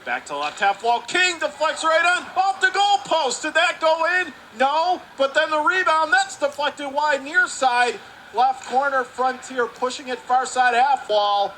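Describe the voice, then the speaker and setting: A man calling hockey play-by-play over the radio in a high, bright voice. He runs through the action at speed and surges into excited shouts at close chances. Faint arena noise sits under him. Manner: rapid, rising to excited shouts on close plays.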